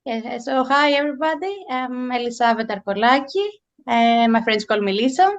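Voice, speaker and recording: A woman's voice: fairly high pitch, moderate pace. Woman in her forties, native Greek speaker, guest author, thoughtful, hesitant, muffled lo-fi audio. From Greece. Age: 40s. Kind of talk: casual interview chat.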